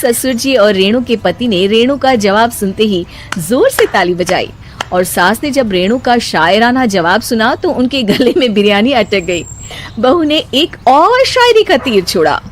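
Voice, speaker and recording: A woman reading scripted narration for an animated moral story. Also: on the high side, measured pace, faint music behind.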